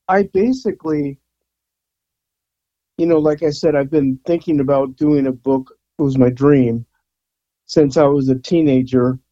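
A man's voice: mid-range voice, mellow, dry recording, highs cut off. A man in his sixties, a rock photographer from the Bay Area, chatting casually as an interview guest and rambling with fillers and restarts. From San Francisco Bay Area. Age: 60s.

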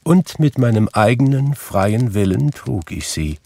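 A man's voice: medium pitch, lively intonation, unhurried, vocal fry, darkish tone, pitched above the performer's own voice. A man reading aloud, voicing the ghost of a dead old man weighed down by chains, in a grave, intoning way.

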